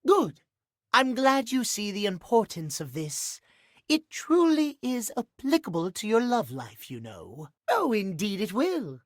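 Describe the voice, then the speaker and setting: A prim and genteel game character, a fussy clock gentleman with a high, expressive voice. His diction is formal and precise, unhurried and full of swooping emphasis. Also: no room sound, studio quality, exaggerated voice. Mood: polite, tender. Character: fussy, genteel, punctual clock gentleman doting on pocket watches. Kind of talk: video game character acting.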